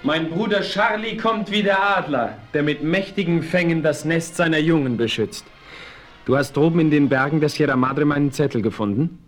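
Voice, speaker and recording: An actor playing a noble Apache chief with ceremonial, dignified speech in a western audio drama. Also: male voice, on the high side, normal speed, close to an everyday voice. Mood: dignified welcome. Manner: ceremonial, stately, flowery formal speech. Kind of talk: 1970s German western audio drama acting.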